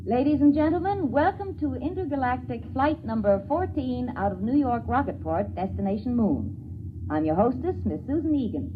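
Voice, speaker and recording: Spaceship hostess, polished and formal, higher-pitched, very lively melody, 1950s radio drama. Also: female voice, normal speed. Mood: bright, welcoming. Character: poised young female spaceship hostess making cabin announcements. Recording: tinny, noisy old radio recording.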